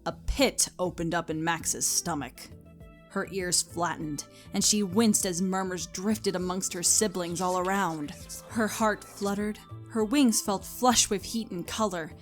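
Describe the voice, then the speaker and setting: A woman's voice: lowish voice, measured pace, soft background music. A woman reading scripted story narration expressively, as the narrator of a comic tale.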